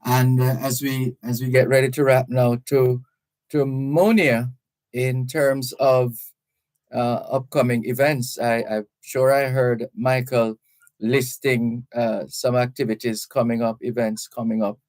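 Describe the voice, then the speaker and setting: Measured man hosting radio, dry sound. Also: medium pitch, little pitch movement, darkish tone, no room sound. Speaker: radio host interviewing guests. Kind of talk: live radio interview.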